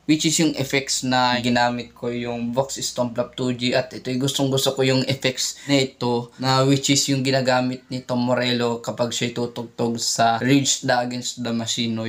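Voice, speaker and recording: A man with a mid-range voice talks in a casual vlog style. He explains things conversationally, like a gear vlogger, checking in with short tag questions as he goes. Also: mid-range voice. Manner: conversational, frequent "no?" tags. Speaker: guitar effects vlogger. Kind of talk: casual vlog demo, Tagalog mixed with English. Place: indoor home studio by a window.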